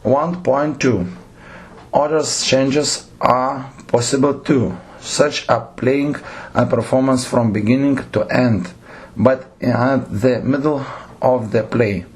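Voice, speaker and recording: Man, Lithuanian accent, husky voice, very slow, halting lecture delivery with self-corrections. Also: medium pitch, fairly even intonation. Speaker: theatre director lecturing. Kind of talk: slow read lecture with numbered points.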